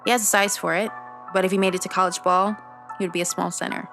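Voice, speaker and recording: A young woman playing an earnest, analytical teenage girl detective, narrating a scripted audio drama in a measured, matter-of-fact way. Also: medium pitch, little pitch movement, vocal fry, fairly bright tone. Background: prominent music.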